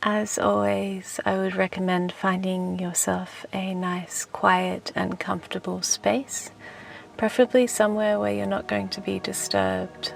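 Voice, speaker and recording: Soft, low-pitched woman guiding meditation with creaky voice. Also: level tone. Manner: gentle, soothing, unhurried with long pauses. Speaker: meditation teacher and host. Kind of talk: guided relaxation meditation. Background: music.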